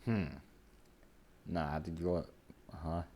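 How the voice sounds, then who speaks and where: Low-voiced Hungarian male streamer. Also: steady tone, measured pace, dark tone. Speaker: game streamer. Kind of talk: casual live commentary.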